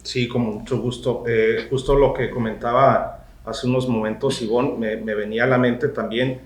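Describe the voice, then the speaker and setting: A man's voice: medium pitch, steady tone, moderate pace, echoey room. A man, a podcast co-host speaking formally to listeners, with hesitant restarts. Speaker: podcast co-host, university professor. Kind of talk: panel discussion on a podcast.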